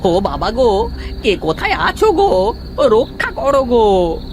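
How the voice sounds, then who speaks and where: A man's voice: extremely high pitch, huge pitch swings, takes it slowly, slight background noise, exaggerated voice. Man acting a cowardly, swooping petty thief. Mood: terrified pleading. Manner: pleading, wailing, stammering. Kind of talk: Bengali cartoon voice acting. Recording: music behind.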